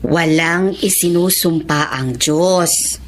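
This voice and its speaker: A woman's voice: deep voice, slow, slight background noise. A woman playing an older, devout and practical Filipino mother in a radio drama, with a Filipino accent. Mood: consoling.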